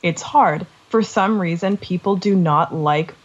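A woman's voice: fairly low pitch, measured pace, muffled recording. A woman from Canada, a true crime podcast co-host, speaking earnestly and reflectively in a casual interview.

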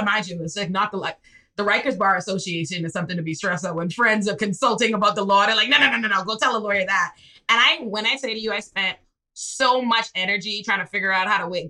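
A Bahamian woman in her twenties, a public defender telling stories in a casual podcast chat, her voice leaping high when she acts out scenes. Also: poor audio. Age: 20s. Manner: lively, swings into high excited re-enactments. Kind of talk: animated storytelling in casual chat.

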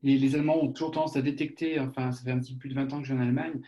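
A man's voice: medium pitch, fairly even intonation, very fast, warm tone, rough recording. A man from France hosting an interview, asking long winding questions with frequent restarts. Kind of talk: conversational interview.

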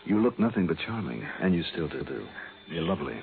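An actor playing a wry, smooth private investigator in a 1960s radio detective drama, with a clipped, dry delivery. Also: male voice, low voice, sing-song, a little fast, slight background noise, exaggerated voice. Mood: playful, flirtatious. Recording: muffled old radio broadcast, phone-like band.

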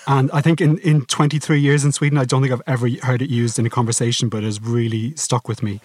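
A man hosting a podcast, telling relaxed anecdotes in a casual chat. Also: mid-range voice, fast talker, no echo. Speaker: podcast host.

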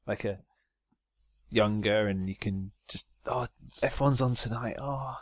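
A man's voice: lowish voice, unhurried, dry recording. A man co-hosting a casual podcast about motor racing, joking and laughing often. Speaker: podcast co-host.

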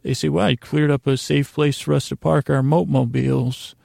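A man hosting a soothing bedtime podcast in a lulling, meandering monologue, halting with odd pauses and repeated words. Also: medium pitch, steady tone, a little mellow, dry recording, studio quality. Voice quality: lulling, creaky drone. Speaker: podcast host.

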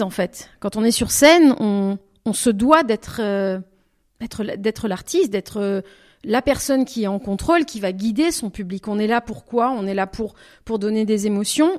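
A French-speaking woman talks about her singing in an interview. Her voice sits at a middling pitch. She speaks reflectively, pausing often and rephrasing as she looks for the right words. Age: over twenty. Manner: thoughtful, often restarts and rephrases. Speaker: singer, interview guest.

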